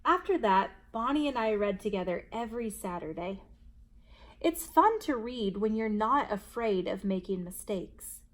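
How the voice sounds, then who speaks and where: A woman reads a children's story aloud as a story time host. Her pace is slow and deliberate, with a mid-range pitch. The delivery is expressive and measured for young listeners.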